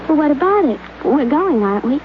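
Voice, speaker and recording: A woman's voice: high-pitched, very animated, fairly quick. A shy, trusting young woman. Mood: wistful. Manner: hesitant, soft-spoken, stumbles over words. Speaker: radio drama actress. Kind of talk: 1950s radio acting. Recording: tinny, hissy old radio broadcast.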